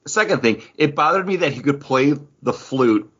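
Guy podcast host, husky and really animated, nerdy fan chat, kinda muffled audio. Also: fairly high pitch, moderate pace. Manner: enthusiastic geeky fan talk. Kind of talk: casual pop-culture chat.

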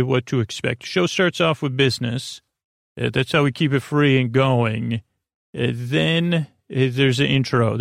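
Creaky, rambling man hosting a sleep podcast. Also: medium pitch, no room sound, studio quality. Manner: meandering, halting, stammering with false starts and tangents; soothing, lulling. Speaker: podcast host.

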